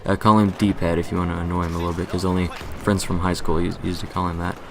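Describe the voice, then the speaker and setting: A young man who hosts a gaming channel, talking through a casual channel update in a monotone voice, rambling, correcting himself and joking along the way. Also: low-pitched, fast, noisy background.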